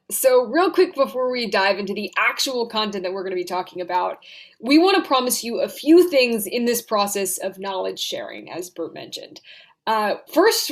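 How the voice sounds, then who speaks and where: Young female student presenter, upbeat and brisk, a touch high-pitched, video call. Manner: upbeat, brisk overview with frequent "right?". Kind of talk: class presentation.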